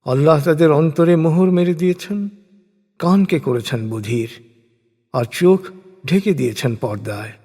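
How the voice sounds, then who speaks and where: Male narrator for solemn scripture reading: husky tone, deliberate phrasing, long reverberant tail on the voice. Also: a little high, dark tone. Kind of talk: solemn scripted religious recitation of translated scripture and commentary.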